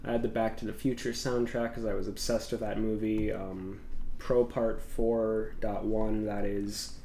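A man's voice: on the low side, little pitch movement, measured pace, gravelly, dark tone. Young man, congested, rambling with fillers, roomy echo, faint hiss. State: congested from a cold. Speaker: tech YouTuber. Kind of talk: casual tech vlog. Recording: echoey room with faint noise.

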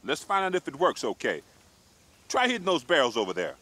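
An actor playing a gruff, blunt army squad sergeant who gives commands in a military video game. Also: male voice, fairly high pitch, huge pitch swings, fairly quick, sharp tone, exaggerated voice. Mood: steady, commanding. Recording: clear vehicle and outdoor noise behind.

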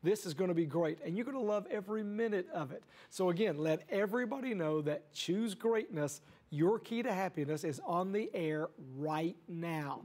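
High-voiced enthusiastic TV host man. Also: animated, moderate pace, hardly any pauses, darkish tone. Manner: enthusiastic, emphatic, uses "uh" fillers. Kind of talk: upbeat TV hosting. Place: TV studio set. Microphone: lapel.

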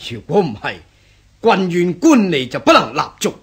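An actor playing a defiant, bitter bandit chief in a radio drama, with a husky voice and a Hong Kong Cantonese accent. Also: male voice, high voice, wildly swooping pitch, moderate pace, fairly bright tone, full character voice. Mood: bitter, cynical. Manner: indignant, scoffing, rhetorical.

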